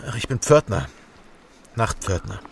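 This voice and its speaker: A man's voice: on the low side, very animated, measured pace, gravelly, slight background noise, full character voice. A man playing a reserved, sceptical night porter in his twenties in a radio crime drama, with an understated, laconic delivery. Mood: guarded, polite. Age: 20s. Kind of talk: radio drama dialogue and first-person narration.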